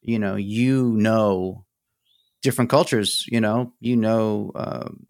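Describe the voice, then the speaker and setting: Gravelly, low-pitched New man. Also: steady tone, takes it slowly, dry recording, clean studio sound. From New York. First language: Greek. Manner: rambling, many fillers and restarts. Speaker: guest. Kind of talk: casual conversation.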